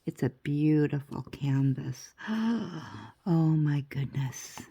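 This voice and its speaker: Older woman vlogger, low gravelly voice, stuffy and chatty, unhurried rambling delivery. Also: lively intonation, dark tone. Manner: rambling, chatty, laughs often. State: stuffy nose. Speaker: crafting vlogger. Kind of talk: casual chatty vlog.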